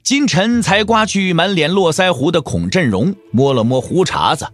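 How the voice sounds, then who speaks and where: A man narrates a martial-arts novel as a dramatic storyteller. His voice sits a little high and moves with lively swings, wry and theatrical, over soft music. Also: normal speed. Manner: theatrical, wry, with rhetorical questions. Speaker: audiobook narrator.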